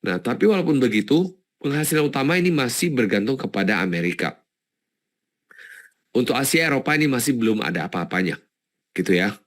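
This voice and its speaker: A man's voice: medium pitch, expressive, a little fast, long pauses. A man presenting a stock analysis webinar in an informal, explanatory way, thinking aloud and repeating words as he goes. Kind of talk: informal live webinar commentary.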